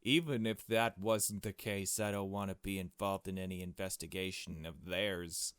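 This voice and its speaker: A man's voice: low voice, steady tone, moderate pace, fairly bright tone, smooth, dry recording, studio quality. A man voicing a suspicious, cynical, foul-mouthed young woman sleuth in a visual novel, insistent and blunt. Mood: scornful. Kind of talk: visual novel dialogue read aloud.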